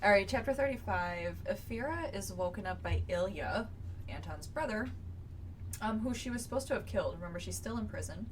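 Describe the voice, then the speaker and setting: A woman's voice: mid-range voice, expressive, a little fast. A woman co-hosting a podcast, recapping a book casually in a chatty, informal way with playful asides. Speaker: podcast co-host leading chapter recaps. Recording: distant mic, clearly noisy background.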